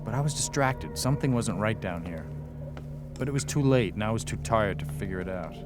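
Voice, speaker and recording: A sardonic young amateur ghost hunter played by a man tells his story in a radio drama. His voice is on the low side, wry and grumbling. Music plays clearly behind him. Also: expressive, brisk, natural voice. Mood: sardonic, uneasy. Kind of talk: first-person radio drama narration and dialogue. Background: loud dramatic music.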